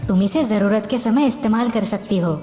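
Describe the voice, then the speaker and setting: A woman voicing a gentle young male magical pigeon in a children's cartoon story. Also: mid-range voice, fairly quick, lightly acted. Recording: long reverb, background music.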